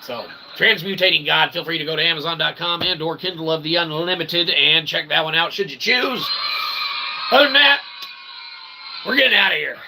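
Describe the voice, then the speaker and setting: A man's voice: fairly high pitch, moderate pace, creaky, sharp tone, slight background noise, rough recording. A man delivering a tongue-in-cheek, rambling philosophical monologue as a channel host, in wordy, convoluted phrasing with frequent check-ins.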